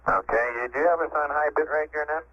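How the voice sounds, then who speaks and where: A man's voice: on the high side, quick pace. A man speaking as an astronaut over radio, reporting procedures in a businesslike, hesitant way. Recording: muffled, hissy 1960s space radio transmission.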